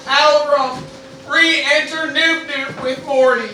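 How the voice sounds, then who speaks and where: A woman's voice: high-pitched, extremely slow. A woman reading terse scripted stage directions as narrator of an amateur radio play. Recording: very echoey, distant mic, loud noise.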